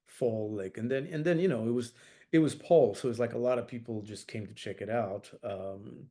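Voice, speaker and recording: A man's voice: mid-range voice, moderate pace, mellow. A middle-aged man from France, a jazz saxophonist as interview guest, talking thoughtfully with many fillers and restarts. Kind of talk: reflective interview talk.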